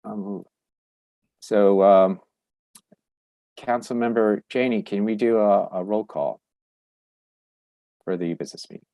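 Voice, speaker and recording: A man chairing a council meeting, halting with many fillers and restarts, losing his voice. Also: on the low side, warm tone, no echo. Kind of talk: virtual public meeting.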